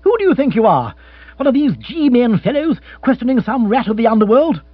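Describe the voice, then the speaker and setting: A man's voice: sits high, sing-song, normal speed, slightly rough, voice pushed up, full character voice. A man voicing an exasperated young lord in a comic audiobook, full of blustering, exclamatory upper-class slang. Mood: indignant. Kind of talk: comic audiobook character acting. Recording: muffled, echoey recording with hum.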